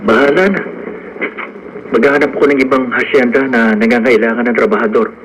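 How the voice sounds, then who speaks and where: A man's voice: mid-range voice, fairly quick, slight background noise, close to an everyday voice, rough recording. An actor playing an earnest, lovestruck young farmhand who sometimes stumbles into his words, in a radio drama. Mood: wistful, resolute. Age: young adult.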